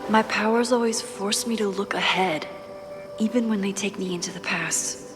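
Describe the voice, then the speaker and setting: A woman's voice: medium pitch, steady tone, moderate pace, close to an everyday voice. A woman playing a thoughtful, caring young woman in a video game, speaking in an understated, introspective way. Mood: weary, reflective. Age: young adult. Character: thoughtful young woman, caring, quietly burdened photographer with powers. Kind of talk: video game acting, inner monologue and casual dialogue. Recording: music behind the voice.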